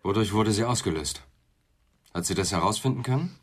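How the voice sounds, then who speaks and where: Low-voiced, relentless police inspector. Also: male voice, animated, fairly quick, breathy edge. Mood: questioning. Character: male police inspector, probing, controlled, relentless. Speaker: interrogator. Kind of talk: German radio crime drama acting.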